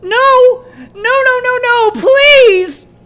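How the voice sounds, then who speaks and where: A man doing a shrill, sobbing young furry. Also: drawn-out words, pushed and bright, full character voice. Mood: pleading, hysterical. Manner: shrill, pleading, shrieking. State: crying. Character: desperate young male furry, pleading, panicked.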